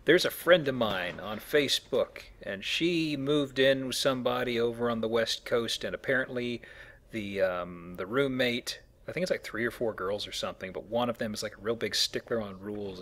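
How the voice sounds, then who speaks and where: A man's voice: lowish voice, moderate pace, a bit bright. A man giving casual, goofy let's play commentary, rambling along as he plays. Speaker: let's play host, playing the game. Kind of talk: casual chat commentary over gameplay.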